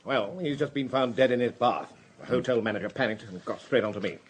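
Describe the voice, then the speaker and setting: An actor playing a senior police director in a radio drama, courteous but anxious, with clipped, hesitant authority and a British accent. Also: male voice, mid-range voice, brisk, a little hiss or hum, natural voice. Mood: concerned, courteous. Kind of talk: BBC radio drama acting.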